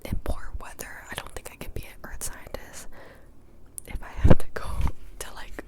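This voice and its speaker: A young woman whispering in a breathy, casual, rambling ASMR style as she answers questions, softly repeating words now and then. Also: moderate pace. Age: young adult. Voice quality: breathy, mostly unvoiced whisper.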